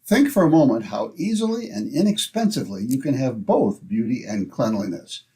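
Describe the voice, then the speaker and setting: A man's voice: medium pitch, sing-song, normal speed, dark tone. A man announcing in old-time radio style, with polished, salesmanlike phrasing.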